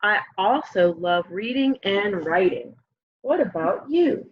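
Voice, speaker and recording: Clear-voiced woman reading aloud, slightly muffled. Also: medium pitch, lively intonation, takes it slowly. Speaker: storybook reader. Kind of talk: children's book read-aloud. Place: room at home, by a window. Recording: slightly dull, lo-fi home webcam sound.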